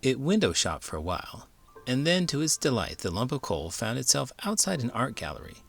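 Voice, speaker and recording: A man reading a children's book aloud as an expressive storyteller, in the manner of an elementary school teacher. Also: medium pitch, a little fast, creaky, soft background music.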